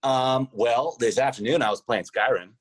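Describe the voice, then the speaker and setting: A man's voice: mid-range voice, moderate pace, slightly rough, no room sound, rough recording. A man talking casually as a podcast guest, using informal gamer slang. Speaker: podcast guest, tabletop role-playing show creator. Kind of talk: casual interview chat.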